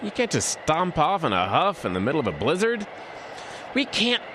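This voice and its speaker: A man's voice: a little high, huge pitch swings, moderate pace. A man playing a freezing, anxious groom-to-be in a horror audio drama, fretfully talking to himself, in a noisy recording. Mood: anxious, exasperated.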